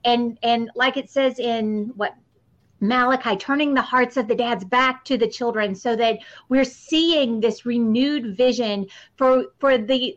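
A woman's voice: on the high side, a bit bright. A middle-aged woman hosting a podcast conversation, speaking earnestly in long flowing sentences on a lo-fi recording. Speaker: podcast host. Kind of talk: casual interview conversation about faith.

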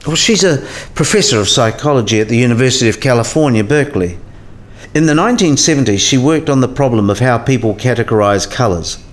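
A man's voice: medium pitch, animated, quick pace, close to an everyday voice. A man playing a patient, scholarly professor in a radio play, explaining things in a didactic way.

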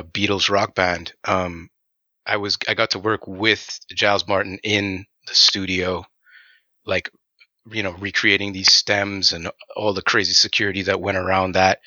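A man's voice: low-pitched, flat delivery, normal speed, pushed and bright, dry recording, muffled recording. A man from Trinidad, a game audio designer, talking casually and animatedly with many fillers in an interview.

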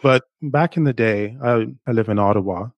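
A man's voice: fairly low pitch, normal speed, no echo, dull audio. A middle-aged man from Jamaica, a guest author, telling stories enthusiastically in a casual interview. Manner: enthusiastic storyteller, some restarts, laughs.

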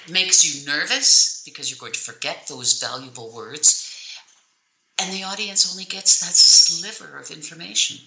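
A woman with a low speaking voice, coaching on public speaking in an interview, emphatic and deliberate, with purposeful pauses and shifts in tone. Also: sharp tone, roomy sound, poor audio. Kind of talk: interview answers, instructive.

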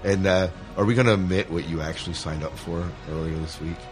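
A man's voice: low voice, steady tone, brisk. A man hosting a podcast in casual, joking chat with his co-host, often rephrasing as he goes.

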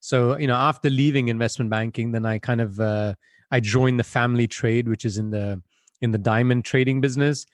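A man's voice: mid-range voice, fairly even intonation, a little fast, dry recording. Reflective man on a dry video call. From Belgium. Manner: reflective, with frequent "you know" fillers. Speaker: guest. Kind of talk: conversational interview answers.

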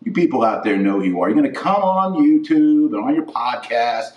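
A man's voice: mid-range voice, expressive, fairly quick, far from the mic, muffled recording. A man giving opinionated religious commentary as a podcast host, indignant and preachy, leaning hard on stressed words. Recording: roomy echo, voice off the mic.

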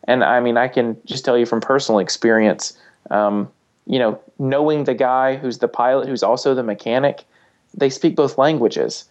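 Halting male panelist, slightly dull sound. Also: medium pitch. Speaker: flight instructor and podcast panelist. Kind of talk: casual panel discussion with anecdotes.

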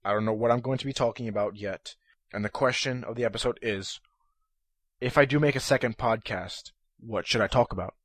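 A young man hosting a casual solo podcast, talking in a monotonous, rambling way full of fillers and restarts, his voice a little hoarse. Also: medium pitch, fairly quick, dry recording. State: slightly hoarse. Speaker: podcast host. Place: room at his computer.

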